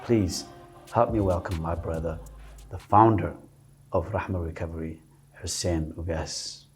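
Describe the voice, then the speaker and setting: A man hosting a community interview show, welcoming his guest and asking halting, encouraging questions. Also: deep voice, faint music behind. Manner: affirming, frequent backchannels, halting questions. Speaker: host. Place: studio.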